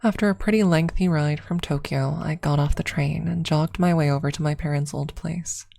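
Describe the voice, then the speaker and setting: A woman with a creaky voice narrating a scripted story in an ASMR style. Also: low-pitched, steady tone, normal speed, mellow. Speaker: streamer and story reader.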